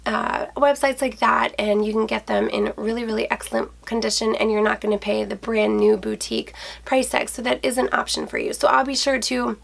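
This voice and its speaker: Woman, 30s, nasal head-cold voice, vocal fry, chatty vlogger, talking to camera in a small closet. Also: fairly high pitch, fairly even intonation, fairly quick, hardly any pauses. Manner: bubbly, chatty, addresses viewers as guys. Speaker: fashion vlogger. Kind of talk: casual handbag review to camera. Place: small indoor closet room with shoe shelves.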